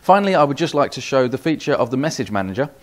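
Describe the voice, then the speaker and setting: A man's voice: medium pitch, big pitch swings, very fast. British man demonstrating a product. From United Kingdom. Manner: methodical, step-by-step explaining. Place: small office demo room, indoors.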